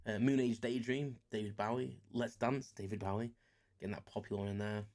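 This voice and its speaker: Man, around forty, slightly low voice, casual podcast talk, hesitant fillers. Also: steady tone, fairly quick, darkish tone. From England. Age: about 40. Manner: rambling, self-deprecating, lots of fillers and restarts. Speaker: podcast host. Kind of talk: casual solo podcast monologue.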